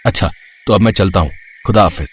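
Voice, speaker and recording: A man playing a humble, pious village father, tender and earnest, in an animated moral story. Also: deep voice, fast talker, husky. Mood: humble, polite.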